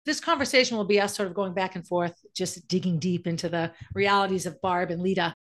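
A woman's voice: lowish voice, a little fast, a bit bright. A woman hosting a podcast, talking casually and with open enthusiasm as she introduces and chats with a guest. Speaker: podcast host. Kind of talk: warm-up intro and casual interview chat.

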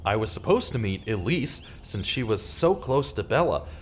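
A man's voice: on the low side, very animated, normal speed. A man playing an earnest, desperate journalist in a radio theater drama, speaking with urgent, pleading drama. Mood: grave, shaken. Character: earnest, desperate male journalist wrongly accused of murder.

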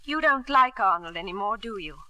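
A woman playing an anxious, gentle middle-aged wife and mother in 1950s radio drama, speaking earnestly and hesitantly. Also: medium pitch, expressive, fairly quick, dry recording. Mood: defensive.

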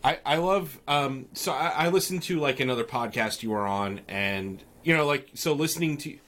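A man's voice: mid-range voice, normal speed, fairly bright tone. A man co-hosting a casual podcast, rambling and full of restarts as he reads sponsors and chats with a guest. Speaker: podcast co-host. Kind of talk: casual chat.

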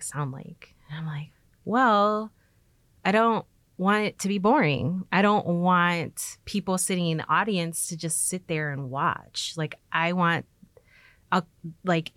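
Female, deep-voiced artist in a casual interview, informal storyteller, close dry sound. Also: no echo.

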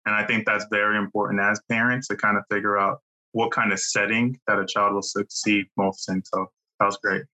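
A man's voice: fairly low pitch, flat delivery, brisk, bright, forceful tone, low-quality recording. A man on a panel discussion, speaking politely and affirming what others said.

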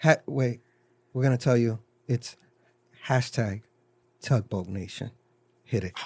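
A man co-hosting a podcast, chatting casually and joking, with animated swings into high mock voices. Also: unhurried, background noise. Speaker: podcast co-host. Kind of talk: casual banter.

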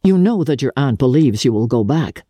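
A woman voicing an earnest, anxious young society gentleman, urgent and formal, in a dramatic reading. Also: rumbling low, animated, normal speed, no room sound, studio quality. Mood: remorseful.

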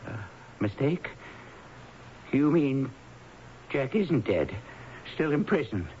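An actor in a radio drama playing an anxious, protective father who speaks in a halting, hesitant way. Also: mid-range voice, big pitch swings, darkish tone. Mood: shaken. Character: anxious, protective father of a troubled grown daughter. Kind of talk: old-time radio drama acting. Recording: dull, hissy 1970s radio broadcast.

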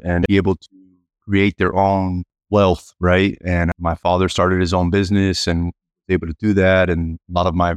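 A man's voice: sits low, no echo. A man from the United States talking as a podcast guest, easygoing and filler-heavy. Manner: easygoing, fillers like "you know". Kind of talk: casual interview talk.

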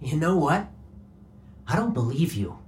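A man's voice: fairly high pitch, big pitch swings, measured pace, darkish tone. A man acting an irritable bank robber on the run in a comedic audio drama, blunt and sarcastic in delivery. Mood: fed up, determined.

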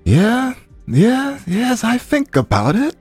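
A man's voice: very high-pitched, wildly swooping pitch, slow pace, darkish tone, slightly rough, faint music behind. A man co-hosting a podcast in casual banter, informal and easygoing, rambling a little as he talks. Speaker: podcast co-host.

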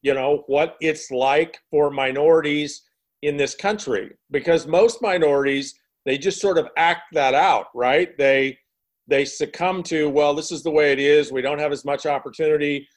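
A man's voice: fairly high pitch, fairly even intonation, moderate pace. A man hosting an interview, asking questions and reflecting on the guest's answers at length. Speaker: interview host.

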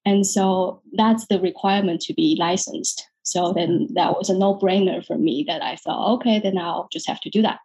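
A woman from Taiwan, a psychologist talking about her career in a relaxed interview, hesitant with many restarts and quick laughs. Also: lowish voice, husky, poor audio. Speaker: guest psychologist. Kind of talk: casual interview chat.

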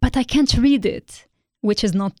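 A woman from Lebanon, a guest artist in an interview, speaking thoughtfully and pausing often with ums. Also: on the high side, no echo, studio quality. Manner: thoughtful, hesitant with frequent ums. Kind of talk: interview conversation.

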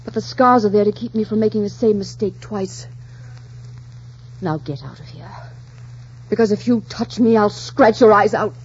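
A woman's voice: medium pitch, normal speed, long pauses, darkish tone, husky. An actress playing a haughty, wounded noblewoman in a 1940s radio drama, theatrical, scornful and impassioned. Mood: bitter. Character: haughty, defiant widowed English noblewoman, sharp-tongued and wounded. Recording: muffled, noisy 1940s radio recording.

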